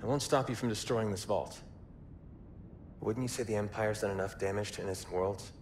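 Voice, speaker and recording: An actor playing a calm, wise young Jedi knight in a video game, speaking in a measured, earnest way. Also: male voice, on the low side, a little hiss or hum, natural voice. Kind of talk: video game cutscene acting.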